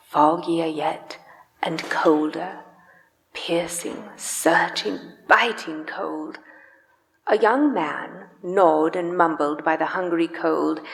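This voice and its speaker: Low, gravelly woman narrating a story. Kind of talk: dramatic scripted storytelling for live radio play. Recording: live in echoey church hall.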